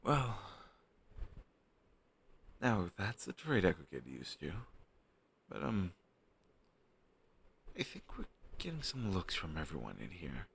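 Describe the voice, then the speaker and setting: A young man playing a shy, lovestruck best friend in an intimate romantic audio roleplay, speaking in a halting, tender and teasing way. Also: deep voice, huge pitch swings, gravelly, a bit bright, a little hiss or hum, full character voice. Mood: playful, affectionate.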